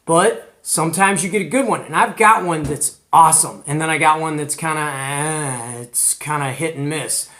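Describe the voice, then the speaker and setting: High-pitched American man hosting a hobby vlog. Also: normal speed, few pauses. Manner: animated, colloquial, retells conversations. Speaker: host of a CB radio show. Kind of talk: casual hobby vlog presenting.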